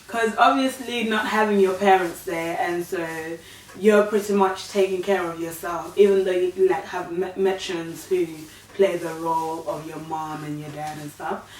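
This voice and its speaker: A woman in her thirties from Uganda, telling her life story in a soft, hesitant way with many fillers. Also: medium pitch. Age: 30s. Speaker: guest. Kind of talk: casual storytelling. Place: living room, sitting on a sofa. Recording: echoey room, far from mic, faint hiss.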